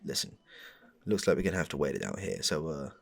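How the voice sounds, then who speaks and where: Smitten, faintly sinister suitor for comedy roleplay, male actor; gravelly, very animated, stammering and earnest. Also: fast, heavily acted. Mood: apologetic, eager. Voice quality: low, gravelly, husky. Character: smitten, rambling, darkly suspicious man meeting his crush. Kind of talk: comedic audio roleplay, spoken to the listener.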